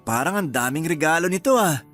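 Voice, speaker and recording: A man playing a gentle, agreeable young husband in a radio drama, speaking in a soft-spoken, reassuring way. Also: on the high side, lively intonation, a little fast, faint music behind.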